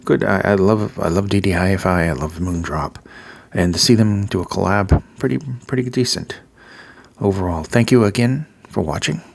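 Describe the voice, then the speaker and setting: A man with a Massachusetts accent talks through a casual gear review. His voice sits very low and has a gravelly edge. He fills his pauses with ums and uhs and repeats his words of praise.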